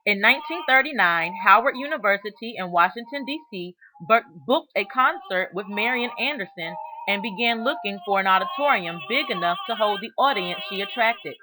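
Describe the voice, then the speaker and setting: A woman reads a children's storybook aloud as narrator, with measured, expressive storytelling and deliberate phrasing. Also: mid-range voice, poor audio. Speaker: reader of a children's book aloud.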